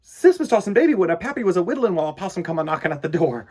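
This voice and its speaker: A man hosting a children's storytime, chatting to young listeners and reading aloud in a playful, animated way. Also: sits high, rapid-fire, slightly rough.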